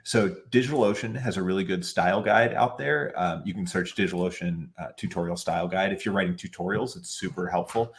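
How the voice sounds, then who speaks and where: A man speaking as a guest on an online panel, giving casual advice in a chatty way full of "like" and restarts, over a video call. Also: deep voice. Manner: chatty, many "like" fillers and restarts.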